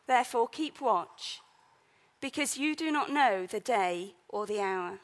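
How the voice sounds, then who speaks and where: A woman's voice: fairly high pitch, animated, a little slow. A woman leading a church service, reading scripture, introducing the guest preacher, and praying in an earnest manner.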